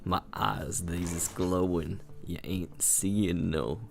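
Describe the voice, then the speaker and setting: A man voicing a boastful horned male night elf druid with a deep voice, introducing himself in slow, silly rhymes and broken grammar over game music. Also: fairly even intonation, creaky, exaggerated voice. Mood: mock-grand. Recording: game music playing behind.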